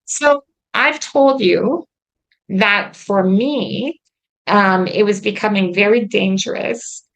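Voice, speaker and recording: Creaky-voiced woman, earnest and chatty. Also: mid-range voice, slow, a bit bright, low-quality recording. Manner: earnest, chatty, many ums. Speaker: host. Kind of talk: casual chat about her treatment. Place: lives in Quebec.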